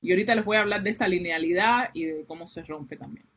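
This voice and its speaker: A woman's voice: on the low side, fast, fairly bright tone. A woman from Cuba with a Cuban Spanish accent, giving an academic seminar with many fillers and restarts. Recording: muffled, lo-fi online lecture audio.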